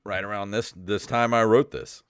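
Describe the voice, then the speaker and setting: A man's voice: lowish voice, steady tone, normal speed, a bit bright, clean tone, no echo. A middle-aged man hosting a comedic podcast, talking casually in a rambling, sweary, mildly exasperated way. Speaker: podcast host. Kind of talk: casual comedic podcast chat and reading aloud. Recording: music playing under the voice. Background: royalty-free synthesizer music.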